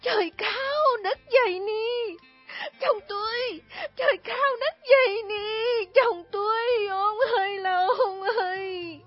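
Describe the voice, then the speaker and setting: A woman's voice: very high voice, slow pace, faint music behind, pitched above the performer's own voice. A woman reading a story voices an old peasant widow wailing and sobbing over her dead husband. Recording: dull lo-fi recording.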